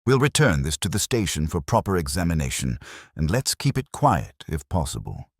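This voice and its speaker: A man voicing a calm, courteous police inspector in a village mystery story, speaking mildly and formally in a murmur. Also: sits low, breathy edge, no echo, studio quality, exaggerated voice. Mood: quietly serious. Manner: mild, unhurried, murmuring, formal.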